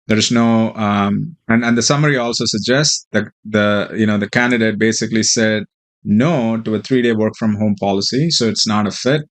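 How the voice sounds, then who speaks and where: A man with a fairly low voice walks through a software demo as its presenter. His explanation is informal and somewhat halting, with a hesitant flow. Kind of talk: informal tutorial walkthrough.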